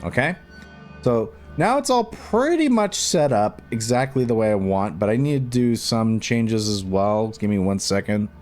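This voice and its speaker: A man's voice: on the low side, sing-song, a little slow, a little mellow. A man hosting a casual step-by-step tutorial, thinking aloud informally and repeating instructions as he works. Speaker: tutorial host. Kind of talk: casual step-by-step screen tutorial, talking while working. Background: background music.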